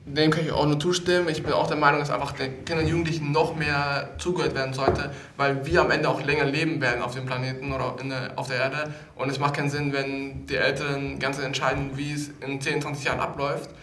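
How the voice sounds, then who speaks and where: A man's voice: mid-range voice, little pitch movement, fast talker, few pauses, fairly bright tone, a little hiss or hum. An eighteen-year-old man answering interview questions as a youth council member in an earnest, emphatic manner. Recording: roomy echo.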